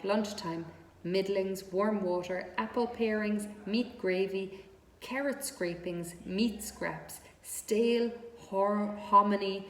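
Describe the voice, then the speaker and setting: A woman reading a children's story aloud as narrator, with deliberate, expressive phrasing. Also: medium pitch. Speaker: storybook reader. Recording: echoey reverberant room with background noise.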